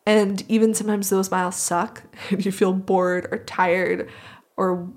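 A woman's voice: medium pitch, level tone, measured pace, darkish tone. Earnest woman in a reflective podcast chat. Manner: earnest, appreciative. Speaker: podcast host being interviewed. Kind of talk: reflective conversational interview.

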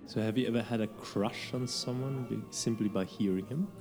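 A man's voice: medium pitch, a little fast, darkish tone, faint music behind. A man co-hosting a podcast, chipping in with brief, curious questions. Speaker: podcast co-host. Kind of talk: casual interview.

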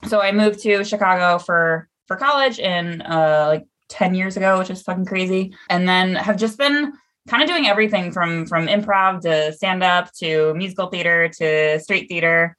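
A woman in her twenties, from Seattle, talks easily as a podcast guest. Her voice sits low for a woman, and she laughs often between stories. She swears casually and keeps things loose. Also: normal speed, fairly bright tone. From Seattle, USA. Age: 20s. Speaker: guest actor.

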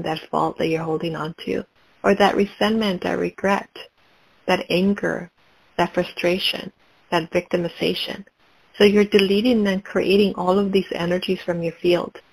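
A woman leading a guided meditation, speaking in slow, calm, repetitive prompts. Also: fairly low pitch, gravelly, breathy edge, low-quality recording.

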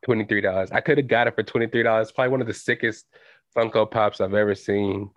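A man's voice: on the low side, steady tone, fast, no echo. Slangy young man rambling on a podcast. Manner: loose, slangy, rambling with many fillers. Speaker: podcast host. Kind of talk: solo casual podcast chat. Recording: dull lo-fi home recording.

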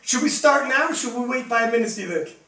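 A man's voice: very high-pitched, expressive, fast talker, pushed and bright, distant mic. A young man from Canada hosting a casual live interview show, chatty and laughing often, full of fillers. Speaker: host. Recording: roomy sound, mic set back.

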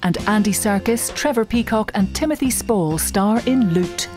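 A woman's voice: medium pitch, animated, moderate pace, music in the background. A woman reading a scripted radio trailer as announcer.

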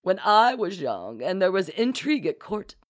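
A woman's voice: mid-range voice, big pitch swings, normal speed, no room sound, pitched above the performer's own voice. Storybook dowager duchess, played by a woman: theatrical, indulgent, swooping delivery, dry close sound. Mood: amused reproach. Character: grand, wistful older duchess reminiscing about court intrigue.

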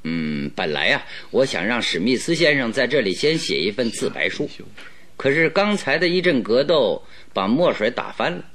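An actor playing a shrewd, playful master detective in a Mandarin radio drama, with a leisurely, theatrical, self-assured manner. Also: male voice, mid-range voice, very animated, normal speed, fairly bright tone. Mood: relaxed, amused. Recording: faint music behind the voice.

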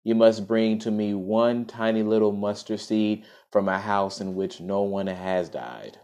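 A man reading aloud, voicing a calm, wise male spiritual teacher who speaks in a measured way. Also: lowish voice.

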